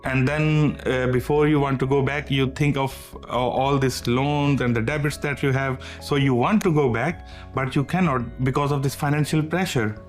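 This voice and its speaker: A man's voice: medium pitch, fairly even intonation, moderate pace, hardly any pauses. Male interviewee, hesitant, slightly distant sound, music underneath.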